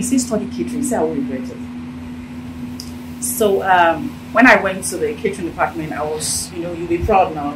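A middle-aged Nigerian woman with a Nigerian accent speaks in a fairly low voice during an animated interview. She sounds a little distant from the phone held toward her. A steady hum fills the office around her. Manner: animated, gesturing while talking. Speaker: interviewee, business owner. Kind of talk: casual interview talk. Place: indoor office with sofa. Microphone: handheld phone held out by interviewer.

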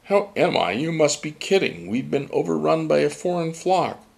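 A man doing a flustered old male seagull, raised gravelly voice, plaintive, storybook. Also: fairly high pitch, big pitch swings, normal speed, darkish tone, voice pushed up. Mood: exasperated. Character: male gull, anxious, exasperated old seabird.

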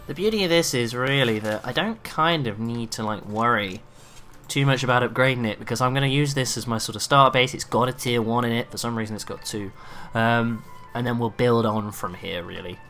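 A man with a raspy throat from a cough, chatting and swearing excitedly through live gameplay commentary. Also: medium pitch, brisk. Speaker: gaming video creator.

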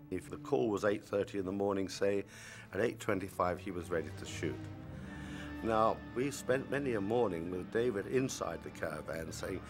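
A man in an interview, recalling work on a film set in a measured, matter-of-fact way. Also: on the low side, gravelly. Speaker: film crew member interviewed. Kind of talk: reminiscing interview.

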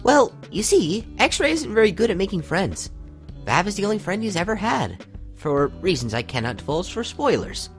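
A man's voice: sits high, very animated, moderate pace. An actor playing an earnest, geeky young male huntsman student in an audio drama. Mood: geeky enthusiasm. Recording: music under the voice.

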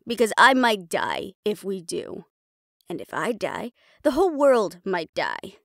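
A woman's voice: medium pitch, huge pitch swings, a little slow, creaky, a bit bright, no echo, studio quality, exaggerated voice. A woman playing a tough, threatening young supernatural woman in an audio roleplay, blunt and sardonic. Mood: frustrated.

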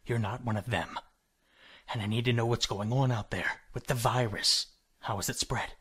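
Captive doctor, played by a man: desperate father, weary yet urgent, sharp-edged rasp, audio drama. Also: mid-range voice, fairly even intonation. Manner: urgent, strained, halting.

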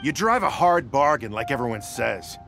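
A man's voice: mid-range voice, big pitch swings, moderate pace, vocal fry, fairly bright tone. A man voicing a defensive merchant in a game, speaking hesitantly and reluctantly, with music behind him. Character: grudging male merchant bidder, defensive. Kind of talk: game dialogue voice acting.

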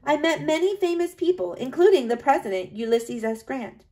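A woman's voice: high-pitched, animated, moderate pace, mellow, pitched above the performer's own voice. A woman voicing a proud, driven French male sculptor in a read-aloud storybook, in an earnest first-person reading.

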